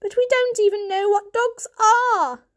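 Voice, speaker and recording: A woman's voice: very high voice, steady tone, a little slow, voice pushed up. A woman voicing an elderly male cat, a worried family patriarch who speaks with grave, pleading insistence in an audiobook. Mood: urgent, pleading.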